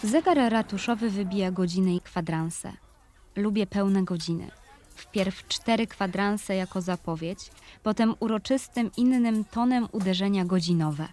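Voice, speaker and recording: A woman with a medium-pitched voice narrates an audio play. She reads scripted, literary prose as a storyteller recalling a place. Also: a little mellow.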